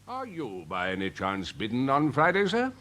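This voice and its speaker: An actor playing a pompous, snobbish older Victorian major with an upper-class English accent, fussy and blustering, in a radio drama. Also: male voice, medium pitch, wildly swooping pitch, normal speed, a bit bright. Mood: smug, fussy. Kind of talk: British radio drama acting.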